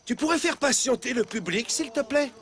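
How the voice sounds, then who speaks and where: A man voicing a kindly, patient village storyteller in a French children's cartoon, with an expressive, measured delivery. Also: very high-pitched, heavily acted. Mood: friendly, concerned. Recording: music behind the voice.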